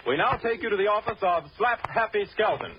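A man's voice: high-pitched, expressive, fairly quick. A man announcing a 1930s radio comedy show in a formal manner. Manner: formal, declaiming. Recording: muffled old radio broadcast with hiss.

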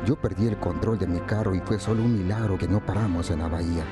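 An actor playing a grown man who looks back on a hot-tempered youth, narrating a scripted radio drama in a measured, reflective way. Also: sits low, loud music behind the voice, natural voice.

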